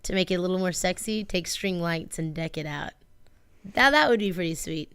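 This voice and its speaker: A woman's voice: fairly low pitch, fairly quick, fairly bright tone, clear, resonant tone, no room sound. A woman co-hosting a radio show in casual banter, upbeat and gushing over sweet ideas. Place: radio studio.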